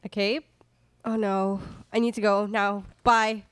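A woman's voice: medium pitch, little pitch movement, measured pace, clean tone, no room sound, lightly acted. Woman playing headstrong vengeful daughter in radio play. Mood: alarmed. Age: young adult. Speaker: student radio play performer. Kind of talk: live radio theatre acting. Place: small classroom studio. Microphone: stand microphone, close.

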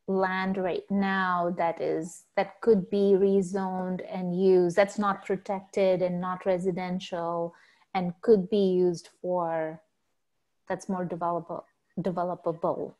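A woman's voice: mid-range voice, darkish tone. A woman town councilor asking questions at a public meeting over a video call, thinking aloud with fillers like "like" and "um".

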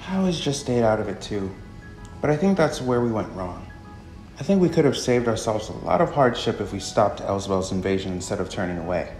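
A friendly, plainspoken delivery woman, acted by a man in a visual novel. The delivery is chatty and very varied in pitch. It has a roomy echo with music behind it. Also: fairly quick, close to an everyday voice. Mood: curious, serious. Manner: expressive, animated. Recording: echoey room under music.

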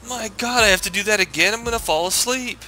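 A man's voice: high-pitched, fast, pushed and bright. A man hosting a gaming channel, ranting and chatting with friends while racing, sarcastic and profane. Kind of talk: rant to camera then casual gaming chat. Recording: game music behind the voice.

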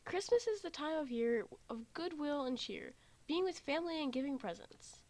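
Confident, blunt anime young man, played by a woman, very high register, amateur fan-drama delivery. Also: animated, normal speed, a little mellow. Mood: insistent lecturing. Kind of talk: amateur fan dub roleplay.

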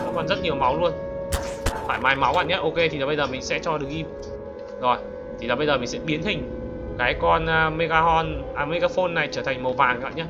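High-pitched man doing gameplay commentary. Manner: exclamatory, peppers talk with English exclamations. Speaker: gaming YouTuber. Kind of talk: casual excited gameplay commentary. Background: music.

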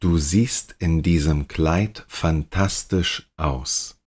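A native German man with a very low voice reads short practice sentences for learners. He speaks slowly and deliberately, saying each line several times. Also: darkish tone, husky. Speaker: language teacher. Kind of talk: slow repeated example sentences.

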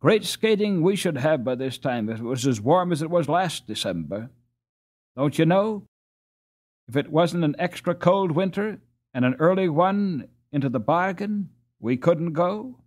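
Man as a brash, animated scoffing boy. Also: fairly high pitch, measured pace, pitched above the performer's own voice. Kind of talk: children's storybook character acting.

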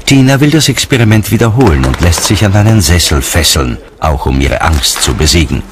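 A man's voice: deep voice, very animated, a little fast, noisy background. A man narrating a documentary from a script.

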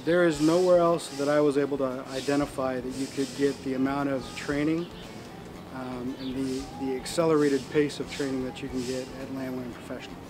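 A man from Portland, Oregon speaking in a measured way about his training in a testimonial interview. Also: medium pitch, little pitch movement. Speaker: IT professional in training.

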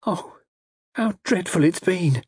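A man voicing an anxious young lady in an audiobook, with a breathy, emotional, girlish manner. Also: on the high side, brisk, pitched above the performer's own voice. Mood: distraught.

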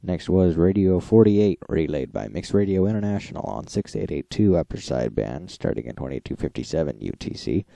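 A man's voice: low voice, expressive, fairly quick, vocal fry, hardly any pauses, warm tone, no echo. A man hosting an informal recap, reading out lists of radio logs with occasional brief asides. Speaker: host of a radio logging recap.

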